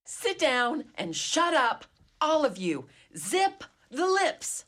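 A woman playing a haughty, sharp-tongued rich dowager in a comedy whodunit radio play, imperious and indignant. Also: high voice, very animated, slow pace, full character voice. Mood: furious, imperious. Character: haughty, sharp-tongued wealthy dowager mother with a guilty secret.

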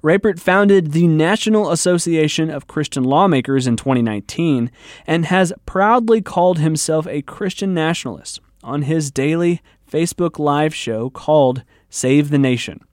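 Higher-voiced American man reporting radio news. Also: expressive, normal speed, a little mellow, dry recording. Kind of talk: scripted news narration with interview questions.

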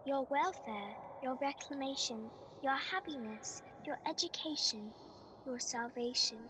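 An actress playing an otherworldly guiding spirit in a radio play, speaking in measured, incantatory phrases. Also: female voice, high voice, background noise. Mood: solemn listing.

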